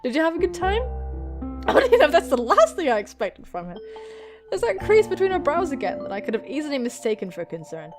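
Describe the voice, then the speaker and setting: Casting: young female gaming host, giggly and excitable, bright-pitched and highly animated, music underneath. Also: quick pace. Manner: excitable, squealing, giggly, swearing. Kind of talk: playthrough reading visual novel aloud with excited reactions.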